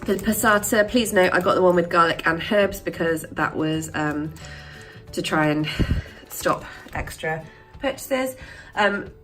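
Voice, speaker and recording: A young woman, hoarse from a sore throat and stuffed up, chatting casually to camera as a vlogger with plenty of ums and rambling asides. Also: lowish voice, unhurried, a bit bright, faint music behind. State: croaky sore throat, congested.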